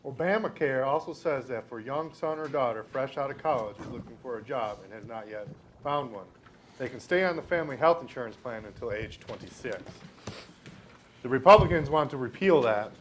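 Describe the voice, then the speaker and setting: A man's voice: mid-range voice, a little hiss or hum, natural voice. A young man playing an earnest politician, reading a scripted speech in readers theater. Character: earnest politician defending health care law.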